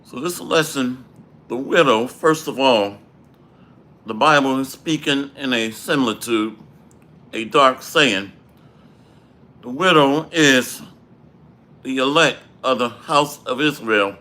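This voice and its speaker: A man teaching a livestreamed Bible lesson with a dry throat, clearing it often and preaching in a measured way. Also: mid-range voice, sharp tone. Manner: slow, measured preaching with Hebrew phrases. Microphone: livestream microphone.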